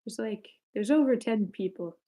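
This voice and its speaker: A woman's voice: on the high side, lively intonation, moderate pace, warm tone. A woman hosting a script table read in a casual way over an online group call.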